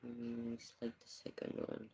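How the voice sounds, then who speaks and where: A live streamer chatting casually in halting, murmured asides. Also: medium pitch, monotone, gravelly, warm tone, husky. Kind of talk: casual livestream chat.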